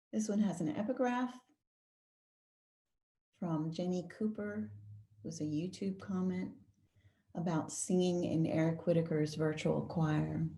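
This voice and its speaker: A woman reads as a poet presenting her own work. Her voice is dark and fairly low, heard in a noticeably roomy, echoing recording. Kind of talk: introducing and reading own poem.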